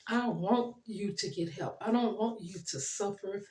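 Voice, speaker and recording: A middle-aged woman talking earnestly to her viewers, her voice worn and fading, pausing often. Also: medium pitch, echoey room. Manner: earnest, gentle, pauses with ums and soft laughs. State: losing her voice. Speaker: channel host. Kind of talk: heartfelt motivational talk to camera.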